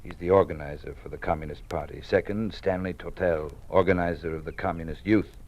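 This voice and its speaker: An actor playing a weary, cautious old college dean in an old-time radio drama, speaking in a measured, formal, fatherly manner. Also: sits low, steady tone, a little mellow, highs cut off. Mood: cautioning, confiding. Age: older. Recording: noisy vintage radio drama recording.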